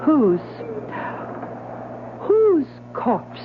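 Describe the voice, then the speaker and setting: An insistent, suspicious wife on a ship. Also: female voice, sits high, big pitch swings, very slow, exaggerated voice. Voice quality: urgent, suspicious delivery. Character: curious, insistent wife aboard a sailing ship. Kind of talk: 1970s radio drama acting. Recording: tinny old radio broadcast with hiss.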